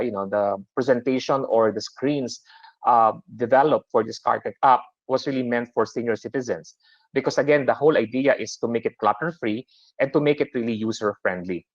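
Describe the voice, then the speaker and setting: A man's voice: mid-range voice, no room sound. A man with a Filipino accent giving a business webinar presentation, talking with many fillers and restarts. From Philippines. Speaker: webinar presenter for a super app. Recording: dry, slightly dull webinar audio.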